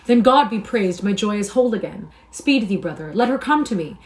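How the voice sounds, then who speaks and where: A woman's voice: mid-range voice, expressive, a little fast, natural voice. A woman reading the part of an eager young soldier who has just come home, speaking in impassioned, old-fashioned English. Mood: elated. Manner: impassioned, archaic speech.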